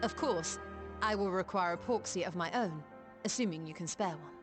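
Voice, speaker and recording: A woman's voice: fairly low pitch, normal speed, music in the background, lightly acted. A woman voicing a composed, courteous and precise scholarly healer-mage in a video game, with formal, archaic phrasing. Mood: composed, considerate.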